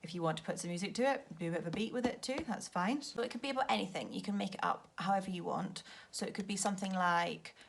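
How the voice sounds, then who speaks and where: A woman's voice: mid-range voice, fast, gravelly, few pauses, a little hiss or hum. A woman primary school teacher explaining a task to children, often asking simple questions to check they follow. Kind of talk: read-aloud lesson explaining a task to children.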